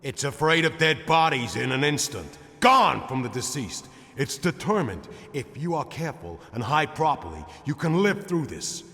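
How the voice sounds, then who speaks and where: An actor playing an anxious, dramatic pantomime player in a video game, with theatrical delivery. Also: male voice, medium pitch, big pitch swings, moderate pace, few pauses, pushed and bright. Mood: urgent. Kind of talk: theatrical scripted game dialogue. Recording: long hall reverb.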